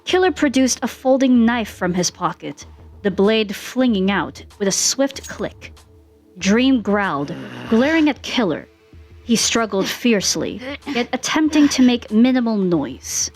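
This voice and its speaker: A woman reading fan fiction aloud as an expressive narrator, with music behind her. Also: fairly high pitch, measured pace.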